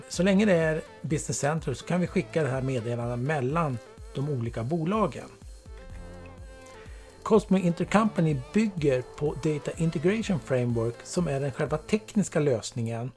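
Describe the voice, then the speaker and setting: Guy doing a software tutorial voiceover, voice a bit on the high side, plain explainer style, light music behind. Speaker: software product presenter. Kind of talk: scripted product tutorial narration. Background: background music.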